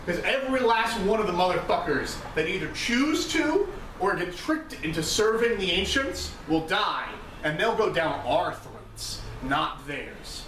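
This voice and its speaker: A man with a high, swooping voice delivers a grandiose speech as a defiant, warlike vampire leader. He pauses dramatically and stresses his words like a stage orator. The recording is distant and echoey, from a live gathering. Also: fairly bright tone. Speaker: live role-play performer giving an in-character speech. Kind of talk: theatrical oration to a gathering. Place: indoor room at a live role-play gathering. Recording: roomy, distant, noisy live-event recording.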